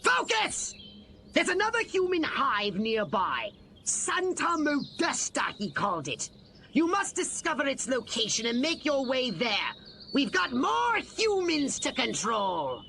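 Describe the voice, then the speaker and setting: A man's voice: very high-pitched, wildly swooping pitch, unhurried, gravelly, sharp tone, slight background noise, exaggerated voice. A man playing a scheming, impatient alien commander in a video game, imperious and theatrical in manner. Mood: exasperated.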